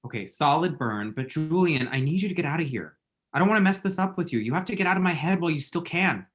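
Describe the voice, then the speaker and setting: A man's voice: on the high side, lively intonation, fast, lightly acted. An actor playing an awkward, wry, lovestruck young man in a screenplay table read, hesitant and self-deprecating. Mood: exasperated.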